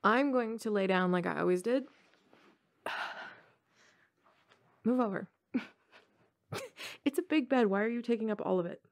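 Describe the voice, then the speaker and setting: Casting: a woman to play a college-age tomboy gamer girl for intimate ASMR roleplay; teasing, slangy, casual, with noticeable vocal fry. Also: a little high, a little slow, long pauses. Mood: playful, relaxed. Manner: casual, slangy, teasing, frequent chuckles and hesitations.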